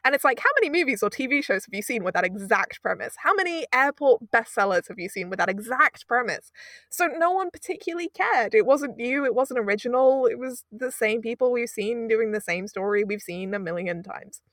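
A woman's voice: a little high, lively intonation, brisk, fairly bright tone, no echo. A woman, a guest producer in a conversational interview, making her points emphatically with rhetorical asides. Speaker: audio drama producer, guest.

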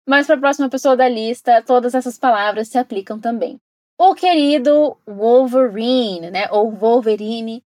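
A woman with a Brazilian Portuguese accent and a fairly high voice, recorded dry and close. She explains with enthusiasm, tossing questions to the listener as she goes. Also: no echo. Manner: enthusiastic, explanatory, warm asides. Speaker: podcast host and English teacher. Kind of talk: scripted educational podcast narration.

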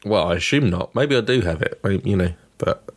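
Man, very deep voice, laid-back, dry humor, podcast co-host. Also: expressive, a little fast. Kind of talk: casual podcast chat.

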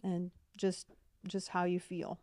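A woman's voice: on the low side, monotone, slow, darkish tone, no echo, studio quality. A woman with a Polish accent, co-hosting a podcast in a casual, earnest and hesitant way. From Poland. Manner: earnest, hesitant, repeats words. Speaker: podcast co-host, mental health nurse practitioner.

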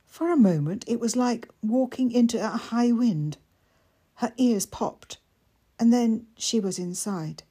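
Female fiction narrator: measured, expressive, slow-paced, with a breathy, husky edge. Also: a little high. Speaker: author reading own fiction. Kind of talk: scripted story narration.

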